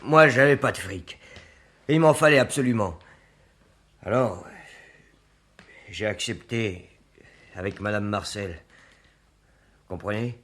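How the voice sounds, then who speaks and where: An actor playing an ashamed young working-class suspect in a French radio play, pleading in halting, colloquial speech. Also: male voice, fairly low pitch, a bit bright. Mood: confessional, ashamed.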